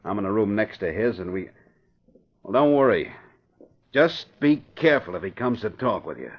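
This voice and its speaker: A gruff gangster henchman. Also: on the low side, very animated, moderate pace. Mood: menacing. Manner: gruff, bossy slang-talk. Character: tough male gangster, the boss's right-hand man. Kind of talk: 1940s radio acting. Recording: dull lo-fi old radio broadcast.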